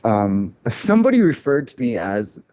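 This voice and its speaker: A man's voice: mid-range voice, big pitch swings, moderate pace, warm tone, dull audio. A man of Cuban heritage, a record label founder, talking candidly in a casual podcast interview, reflective and full of restarts. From Cuban family, grew up in a loud-talking city.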